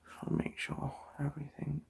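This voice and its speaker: A soft-spoken, often whispering person doing an ASMR eye exam roleplay, chatting gently and politely without hurry, close to the microphone. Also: very noisy. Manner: gentle, unhurried, polite chatty bedside patter. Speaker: ASMR performer playing an eye doctor.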